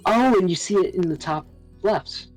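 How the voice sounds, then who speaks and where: A woman's voice: fairly low pitch, normal speed, darkish tone, faint music behind. A woman chatting casually and reacting as a co-player on a game stream.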